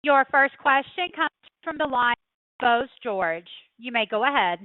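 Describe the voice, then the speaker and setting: A woman's voice: sits high, expressive, moderate pace, no echo. A woman working as a conference call operator, reading scripted announcements. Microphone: telephone. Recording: dry, tinny phone line.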